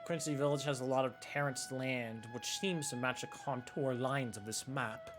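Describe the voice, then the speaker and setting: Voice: mid-range voice, normal speed. A streamer chats casually over a video game. The talk rambles through joking asides, with some game music behind. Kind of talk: casual gameplay commentary.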